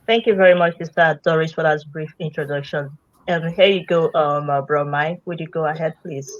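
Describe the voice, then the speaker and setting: Low-pitched woman hosting a live video chat, lots of ums, dull dry lo-fi sound. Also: fairly even intonation, fairly quick. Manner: warm greetings with frequent fillers. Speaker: host. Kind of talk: casual live-stream chat.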